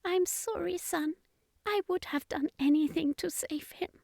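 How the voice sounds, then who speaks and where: A woman voicing a grieving alien mother in an audiobook, tearful and breathy, speaking haltingly. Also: extremely high pitch, darkish tone, dry recording, studio quality, pitched above the performer's own voice, heavily acted. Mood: sorrowful.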